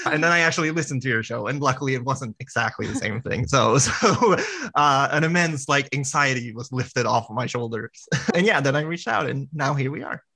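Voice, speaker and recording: A man's voice: medium pitch, expressive, sharp tone. A man from Sweden, a guest on a podcast, talking casually with lots of fillers and restarts. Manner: halting, with many restarts and fillers.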